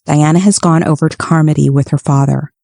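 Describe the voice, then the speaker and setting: Casting: woman as a cold stern mother, low and gravelly, curt, dry close sound. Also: fairly even intonation, a little fast, dark tone, no room sound.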